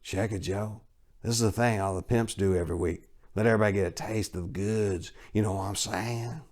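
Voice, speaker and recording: A sleazy male hustler, acted out by a man reading a story, talks in a low-key, slangy way. He is greasy and insinuating, coaxing his listener along. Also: steady tone, a little fast, a little mellow, natural voice. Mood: sly coaxing. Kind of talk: dramatic short story reading.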